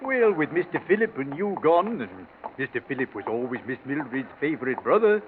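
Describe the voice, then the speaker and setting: An actor playing a devoted, deferential old Yorkshire gardener and manservant with rustic, hesitant speech in a 1940s radio drama. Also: male voice, medium pitch, big pitch swings, a little fast, natural voice. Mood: sorrowful, hesitant. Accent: Yorkshire English. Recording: scratchy, narrow-band 1940s radio recording.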